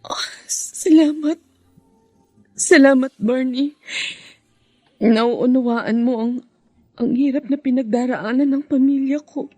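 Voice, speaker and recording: A woman's voice: high-pitched, takes it slowly, pauses often, darkish tone, no echo. An actress playing an earnest young married daughter devoted to her family, in a heartfelt Filipino radio drama with a Filipino accent. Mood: grateful.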